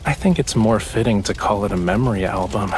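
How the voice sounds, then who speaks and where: An actor playing a tender, teasing young male artist in a romantic game, speaking in a soft, intimate, unhurried way. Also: fairly low pitch, soft background music, natural voice.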